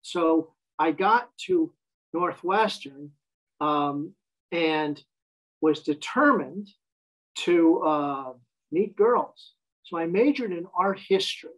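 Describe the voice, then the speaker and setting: A man's voice: fairly high pitch, lively intonation, takes it slowly, rough recording. An American man in his fifties, a guest telling his life story in a wry, self-deprecating way. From United States. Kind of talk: casual interview conversation.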